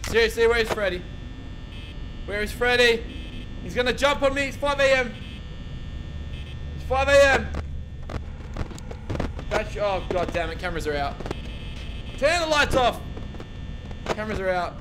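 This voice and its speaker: A young man playing a horror game and recording through a headset at home, muttering to himself and breaking into panicked shouts. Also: very high-pitched, animated, a little slow, long pauses, slight background noise, low-quality recording. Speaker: gaming YouTuber. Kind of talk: horror game let's play. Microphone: over-ear headset.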